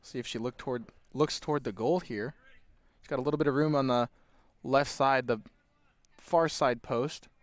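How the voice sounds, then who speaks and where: A man's voice: medium pitch, steady tone, moderate pace, dry recording. Laid-back Californian soccer commentator man. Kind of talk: play-by-play commentary.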